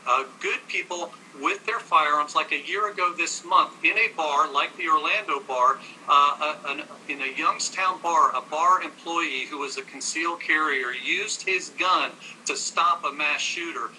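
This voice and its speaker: A man's voice: a little high, moderate pace, few pauses, pushed and bright, slight background noise, low-quality recording. An American man arguing assertively as an advocate in a televised interview, correcting his host. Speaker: gun-rights advocate, interview guest. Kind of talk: televised debate, arguing with statistics.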